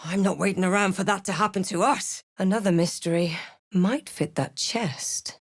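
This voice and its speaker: A woman playing a snarky, brave adventurer spellcaster in a fantasy video game, speaking in a theatrical, wry and deliberate way. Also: medium pitch, dry recording, studio quality, lightly acted. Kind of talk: video game voice lines, dramatic narration and spell chants.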